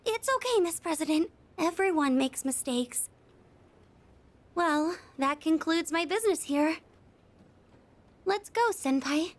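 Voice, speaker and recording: A woman playing a timid, earnest high-school girl in an anime-style video game, with a hesitant, gushing way of talking. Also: animated, slow pace, pauses often, full character voice. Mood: bubbly, flustered. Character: shy, excitable, earnest high-school girl, timid but bold in love. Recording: music behind the voice.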